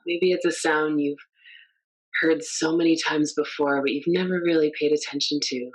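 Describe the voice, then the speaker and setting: Female meditation guide, very low voice, gentle and unhurried. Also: steady tone, breathy edge, rough recording.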